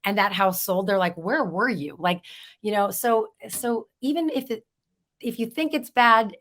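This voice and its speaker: Hoarse woman, lowish voice, chatty storyteller, casual interview guest. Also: a bit bright. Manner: chatty, storytelling, laughs easily. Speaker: real estate agent, podcast guest.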